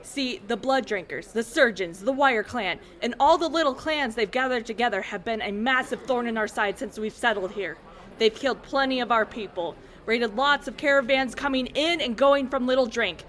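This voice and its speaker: A woman acts a hostile female enforcer in a wasteland audio drama. Her voice sits fairly high, and she speaks with sneering authority, accusing and suspicious of outsiders. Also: moderate pace, fairly bright tone, close to an everyday voice. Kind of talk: post-apocalyptic audio drama acting.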